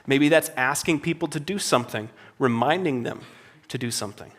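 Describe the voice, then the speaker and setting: A man's voice: medium pitch, a little fast, fairly bright tone. Earnest man giving a conference talk. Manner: earnest, conversational, repeats phrases for emphasis. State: slightly raspy voice. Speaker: conference speaker. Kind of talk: encouraging conference talk to worship leaders. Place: conference hall before a live audience.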